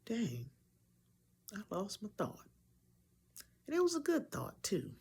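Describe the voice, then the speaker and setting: A young woman with a deep voice, talking candidly to camera in a rambling way, often losing her train of thought and correcting herself. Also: wildly swooping pitch, slow, vocal fry, a little mellow, breathy edge. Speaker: vlogger. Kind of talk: candid personal talk to camera.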